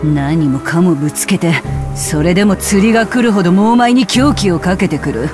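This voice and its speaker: A woman's voice: fairly low pitch, lively intonation, normal speed, lightly acted. Woman as a brash, bitter, mocking rocker witch. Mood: sulky, bitter. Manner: sardonic, rough-spoken, taunting. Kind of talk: Japanese video game voice acting. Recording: loud music under the voice. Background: loud music.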